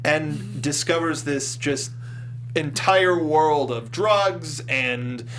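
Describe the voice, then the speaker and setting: A man hosting a casual film review discussion, with an animated manner that slips into mocking quotes. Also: a little high, drawn-out words. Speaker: talk show host. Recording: noisy room with electrical hum.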